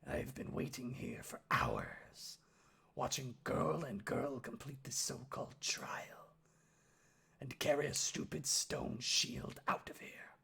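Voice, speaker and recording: A man voicing a bitter, menacing orc father with a husky, gruff voice and sneering, hissing delivery in a fantasy story. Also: medium pitch, huge pitch swings, slow, sharp tone, whispered, exaggerated voice. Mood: menacing, contemptuous.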